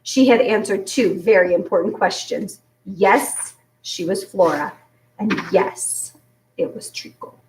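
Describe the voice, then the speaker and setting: A woman reading a children's book aloud in an expressive storytelling style. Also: mid-range voice, slow pace. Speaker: story reader. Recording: roomy echo, distant from mic.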